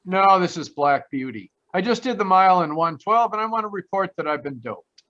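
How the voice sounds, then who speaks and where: An actor playing a flippant, wry ex-cop private detective in a 1940s-style radio play. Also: male voice, high-pitched, animated, a little fast, pushed and bright. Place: remote live performance over video call. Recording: dull, lo-fi video call audio.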